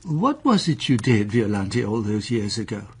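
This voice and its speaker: An actor in a period radio drama playing a timid, doting old husband, anxious, hesitant and stammering. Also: male voice, on the low side, very animated, moderate pace, slight background noise. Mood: tender, fretful. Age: older.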